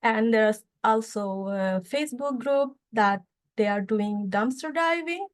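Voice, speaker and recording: Woman presenting with hesitant fillers. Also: a little high. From Indonesia. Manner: halting, many fillers and restarts. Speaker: student association speaker. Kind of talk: conference presentation.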